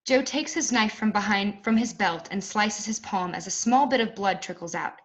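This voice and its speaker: A woman's voice: medium pitch, moderate pace, a bit bright, poor audio. A woman reading stage directions as scripted narration for a play reading.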